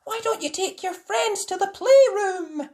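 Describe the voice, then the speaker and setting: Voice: extremely high pitch, lively intonation, unhurried, a little mellow, pitched above the performer's own voice. A storyteller voicing a helpful, kindly female rabbit nurse from a children's book, with sing-song courtesy.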